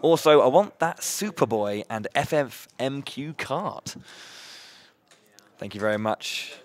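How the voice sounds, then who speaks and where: A man hosting a live charity gaming marathon, loud-voiced and upbeat, chatting between runs with many fillers and restarts. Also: mid-range voice, lively intonation, takes it slowly.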